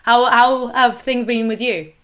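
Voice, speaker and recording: A woman co-host with a hoarse, croaky voice, chatting in a lively way. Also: fairly high pitch, measured pace. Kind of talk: casual chat.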